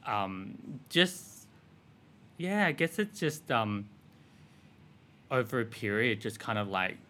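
Rambling Australian man, bright voice. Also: medium pitch, lively intonation, takes it slowly. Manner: rambling, filler-heavy with frequent "like" and "um". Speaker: fashion designer guest. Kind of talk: casual interview chat.

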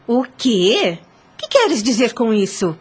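Dutiful widow, Brazilian accent, raspy voice, expressive pitch, formal theatrical radio drama delivery. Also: female voice, slow, full character voice. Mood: troubled. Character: Madalena, dutiful, resolute widowed lady of the house. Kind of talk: Brazilian radio soap acting.

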